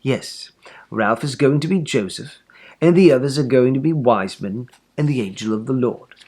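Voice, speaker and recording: A man voicing a brisk, exasperated mother in a storybook, speaking firmly and insistently. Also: medium pitch, darkish tone, husky. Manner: firm, insistent. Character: exasperated, brisk, firm mother running a pageant.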